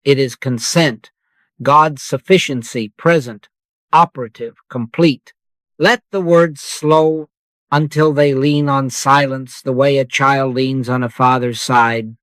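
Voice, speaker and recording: Soft-spoken man guiding a prayer for sleep. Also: mid-range voice, fairly even intonation, no room sound. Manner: soft, slow and unhurried, with long pauses. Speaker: meditation guide.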